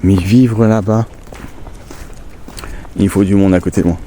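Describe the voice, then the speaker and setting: A man's voice: on the low side, measured pace, a little hiss or hum. A man with a stuffy nose from a cold, chatting casually and rambling as he walks in the countryside, filming on a handheld phone. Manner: chatty, relaxed, rambling asides. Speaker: vlogger on a nature walk. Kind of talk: casual walking vlog to camera. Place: outdoors in the countryside.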